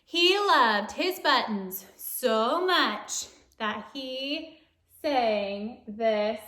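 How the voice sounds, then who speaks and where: Female children's read-aloud narrator, very unhurried, playful and exaggerated, sing-song delivery, recorded in an echoey room. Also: fairly high pitch. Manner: animated, playful, exaggerated exclamations and questions to young listeners. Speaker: storyteller reading a picture book to children.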